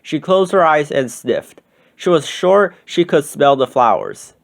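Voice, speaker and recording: A man reading a children's storybook aloud as scripted, expressive narration. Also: a little high, unhurried, gravelly. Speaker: storybook reader.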